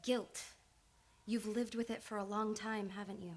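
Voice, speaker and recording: A woman's voice: mid-range voice, steady tone, normal speed, lightly acted. A woman playing an earnest, insistent psychoanalyst in old time radio drama, urgent and probing.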